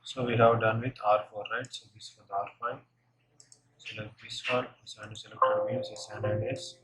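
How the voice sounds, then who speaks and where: A man narrating a step-by-step software tutorial, unscripted and halting as he thinks aloud while working. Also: on the low side, level tone. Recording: noisy room, keyboard and mouse clicks.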